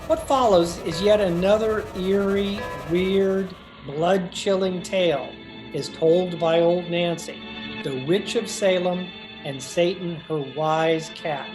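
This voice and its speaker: A woman announcer with a fairly low voice reads a scripted introduction. She presents in the formal manner of old-time radio. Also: slow pace, music in the background, low-quality recording.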